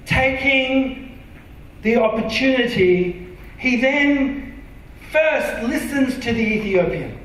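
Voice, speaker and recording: An Australian man preaching a sermon from a church stage, earnest and emphatic, sometimes dropping to a soft, intimate tone. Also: very high voice, animated, slow pace, slight background noise. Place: large dark church auditorium stage. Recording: very echoey hall from far off.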